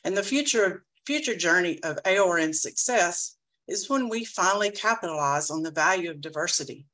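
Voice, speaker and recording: A woman's voice: lowish voice, expressive, a little fast, pushed and bright, rough recording. Earnest woman giving a campaign speech. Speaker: board candidate.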